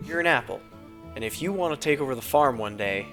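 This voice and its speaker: A man's voice: medium pitch, expressive, fast talker, a bit bright, faint music behind. A man voicing a stern, exasperated female farm pony, folksy and dismissive, in a cartoon parody. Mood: scolding.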